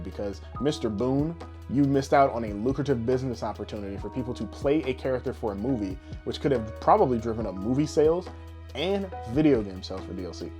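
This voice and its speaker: Male podcast co-host, mid-pitched, informal and opinionated, some swearing, music underneath. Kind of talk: casual podcast chat about pop culture. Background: background music.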